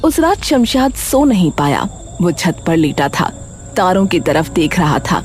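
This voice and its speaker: A woman narrator reading a scripted dramatic story for an animated tale. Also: on the low side, measured pace. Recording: music behind the voice.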